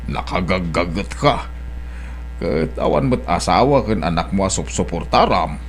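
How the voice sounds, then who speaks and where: A man's voice: low-pitched, lively intonation, moderate pace, a little hiss or hum. A man playing a kindly older village father in an Ilocano audio drama, speaking in an avuncular, approving way. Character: kindly older rural father and farm employer.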